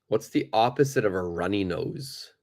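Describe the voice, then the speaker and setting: A man teaching English in a live online lesson, who speaks slowly and deliberately, repeats key words for his learners, and has a stuffed-up nose. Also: fairly low pitch, animated, a little mellow.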